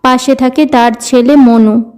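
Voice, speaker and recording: Female narrator for animated tale, high-pitched, warm dark tone, scripted delivery. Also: unhurried. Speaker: storyteller, narrator. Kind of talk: scripted cartoon story narration.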